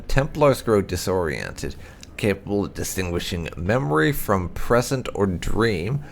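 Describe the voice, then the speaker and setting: A man reading fantasy game lore aloud as narration, in a deliberate, expressive manner with dramatic stresses. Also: low voice, a little hiss or hum. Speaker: host of a game playthrough channel.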